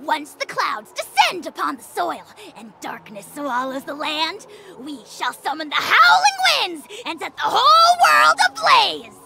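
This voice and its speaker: A woman voicing a pompous, spiteful little dragon lord in a video game, with a shrill, creaky, cartoonish delivery and theatrical haughtiness. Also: very animated, unhurried, few pauses, over loud music, full character voice, low-quality recording. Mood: grandiose, pompous. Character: tiny arrogant dragon lord, bombastic, spiteful, easily enraged. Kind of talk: video game character voice acting.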